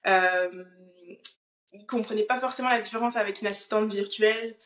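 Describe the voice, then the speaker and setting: A woman's voice: medium pitch, normal speed, a bit bright. French woman in her thirties, interview guest on a video call, hesitant with fillers, roomy echo. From France. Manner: thoughtful, many fillers. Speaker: guest, online business manager. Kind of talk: casual interview answers.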